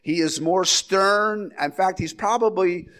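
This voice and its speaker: A man's voice: high voice, a little slow, fairly bright tone. Man with a cold preaching casually. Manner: rambling, folksy, with restarts and asides. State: has a head cold. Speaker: pastor. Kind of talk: casual verse-by-verse sermon.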